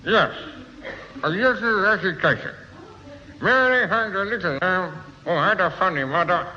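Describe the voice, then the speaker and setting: A man voicing a squeaky ventriloquist's dummy reciting corny rhymes in a 1960s radio comedy. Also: normal speed, bright, forceful tone. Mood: sing-song. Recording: echoing hall, muffled old radio, faint hiss.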